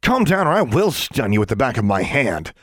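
A man's voice: medium pitch, very animated, quick pace, no room sound, clean studio sound, voice pushed up, lightly acted. A man voicing a big, no-nonsense woman custodian in an audiobook story, melodic yet brusque and challenging. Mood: stern, exasperated. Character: tall, hefty, no-nonsense female school custodian.

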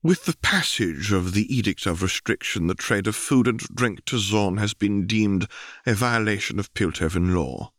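A man voicing a brisk newspaper reporter in an audiobook, delivering breaking news in a crisp, formal, declarative way. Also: lowish voice, animated, fairly bright tone, no room sound, clean studio sound. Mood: urgent, formal.

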